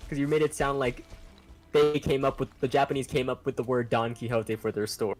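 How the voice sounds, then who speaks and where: A man's voice: medium pitch, level tone, a little fast, darkish tone, faint music behind. A man chatting casually with friends during a game stream, rambling and tripping over his words as he explains things. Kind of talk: casual gaming chat.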